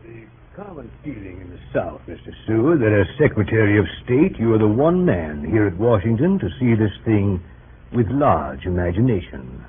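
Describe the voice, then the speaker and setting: A smooth political flatterer in an old radio drama. His deepish voice moves expressively as he insinuates and defers. The recording is tinny and lightly hissy. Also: normal speed. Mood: ingratiating. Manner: ingratiating, hesitant, insinuating. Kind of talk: 1930s-40s radio drama acting.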